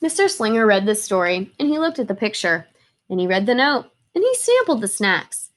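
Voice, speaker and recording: A woman reading a children's storybook aloud in an animated, expressive way, acting out the dialogue. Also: medium pitch, fairly quick. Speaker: teacher reading a picture book aloud.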